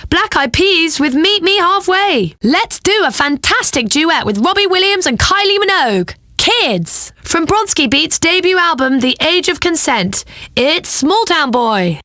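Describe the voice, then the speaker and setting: A woman's voice: high-pitched, pushed and bright, no echo, studio quality, heavily acted. A woman playing a flirty, upbeat pop radio DJ in a video game, with slick, playful, teasing song introductions.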